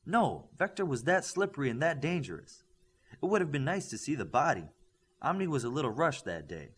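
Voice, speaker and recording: A man voicing a seasoned, grave superhero coach in an audiobook, lecturing deliberately and with emphasis. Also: a little high, big pitch swings, a bit bright, slightly rough, voice pushed up, full character voice. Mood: dry, easy. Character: Connors, seasoned veteran superhero turned coach, earnest and grave.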